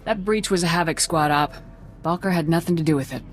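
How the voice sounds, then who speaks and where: A woman's voice: fairly low pitch, brisk, soft background music, lightly acted. Woman as a firm military squad commander. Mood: determined. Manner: firm, direct. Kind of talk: video game dialogue acting.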